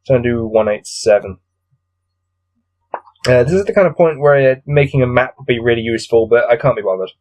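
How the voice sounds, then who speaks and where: A man's voice: medium pitch, lively intonation, fairly quick, pauses often, a little mellow. A man with a cold coming on reads a gamebook aloud, adding weary, exasperated, off-the-cuff commentary. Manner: weary, grumbling, exasperated asides. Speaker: solo gamebook reader and commentator.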